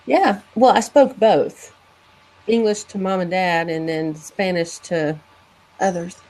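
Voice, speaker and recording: A woman's voice: on the low side, slow pace, a little mellow, rough recording. A woman from Peru asking a guest questions in a hesitant way, trailing off between phrases. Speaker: panelist. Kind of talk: casual conversation.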